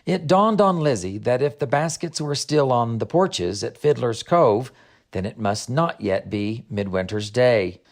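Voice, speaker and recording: A man reading scripted storybook narration in an expressive, measured storytelling manner. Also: medium pitch. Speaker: author and audiobook narrator.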